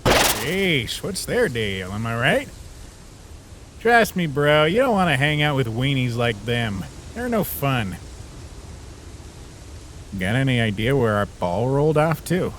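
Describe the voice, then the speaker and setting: A man playing a laid-back, wisecracking skeleton big brother in an animated comic dub, with a casual, reassuring manner. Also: mid-range voice, takes it slowly, long pauses, slight background noise. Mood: dismissive.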